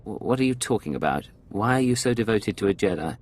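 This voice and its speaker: Very deep, curt, menacing secret agent. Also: male voice, lively intonation, quick pace. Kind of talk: scripted game cutscene dialogue.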